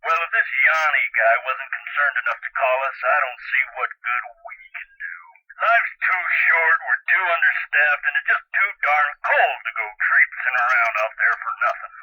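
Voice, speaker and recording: An actor playing a gruff, dismissive small-town sheriff in a video game, curt and folksy over the telephone. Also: male voice, mid-range voice, lively intonation, normal speed, few pauses, pushed and bright, poor audio.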